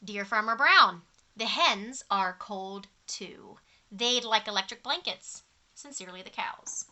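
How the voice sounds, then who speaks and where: A woman voicing polite but stubborn farm cows in a storybook, delivered deliberately like a letter being read out. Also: medium pitch, expressive, sharp tone.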